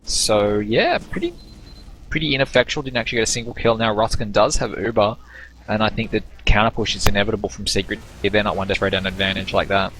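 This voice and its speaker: A male esports caster with a fairly low voice talks through the match in an analytical, halting way. He restarts phrases as he thinks. A faint noise floor sits under the recording. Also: a bit bright, rough recording. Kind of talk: game commentary.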